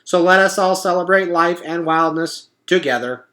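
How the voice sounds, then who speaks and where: A perky storybook robot lady, played by a male reader, polite and cheerful. The voice sits high and rings out brightly, delivering formal announcements in a friendly, orderly way. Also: moderate pace. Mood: grateful, festive. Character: cheerful, polite female robot addressing her animal friends.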